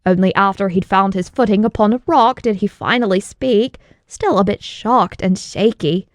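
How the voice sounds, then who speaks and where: A woman reading scripted fantasy narration as an audiobook narrator, in a measured, dramatic storytelling manner. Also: medium pitch, no echo.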